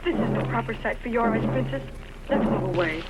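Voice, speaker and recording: A woman's voice: on the high side, brisk, heavy background noise, close to an everyday voice. A woman acting a caring, anxious attendant to a princess in a dubbed costume film, with a solicitous, dramatic manner. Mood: gentle urging. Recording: lo-fi fan dub with music behind.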